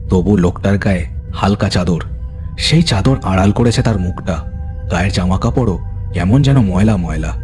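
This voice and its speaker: Deep-voiced man narrating a ghost story. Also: darkish tone. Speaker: narrator of an audio horror story. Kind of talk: dramatic scripted Bengali ghost story narration.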